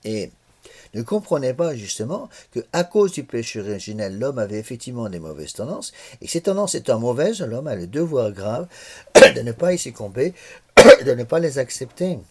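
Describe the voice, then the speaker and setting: A man's voice: medium pitch, very animated, normal speed, slightly rough. A man in his sixties giving a classroom lecture on religious morals, often stumbling and repeating small words before going on, with the odd cough. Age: 60s.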